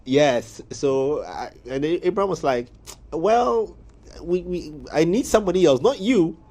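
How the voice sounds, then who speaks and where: A man hosting a morning studio interview, telling stories in a lively way with laughs. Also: on the high side, unhurried. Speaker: morning show host.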